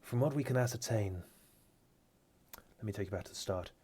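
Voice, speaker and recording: A man voicing a formal male knight giving a measured briefing in a tabletop game. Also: on the low side, mellow, slight background noise, natural voice. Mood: grave.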